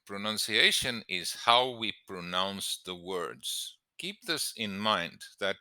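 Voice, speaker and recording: A man speaking as an English teacher giving exam tips in an emphatic, deliberate instructional style, stressing key words. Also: lowish voice, bright, forceful tone, no room sound. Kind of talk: instructional lesson to camera.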